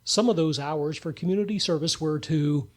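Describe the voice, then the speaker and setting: A man's voice: on the high side, normal speed, heavy background noise. A man narrating a radio play in a scripted storytelling style.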